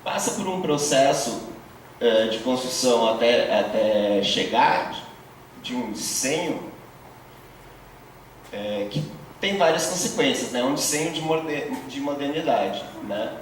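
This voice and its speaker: A man's voice: medium pitch, expressive, slow. A man lectures to a class, working through concepts patiently and tagging his points with little checks for agreement. He sits far from the microphone in a very reverberant room. A faint room hum runs underneath. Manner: many fillers and tag questions, explanatory. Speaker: course instructor. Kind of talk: university lecture. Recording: very echoey, distant, faint room noise.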